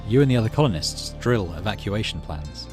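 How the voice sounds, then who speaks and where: A man hosting a let's play, reading a story game aloud with expressive delivery and muttering asides about his moves. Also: fairly low pitch, brisk, soft background music.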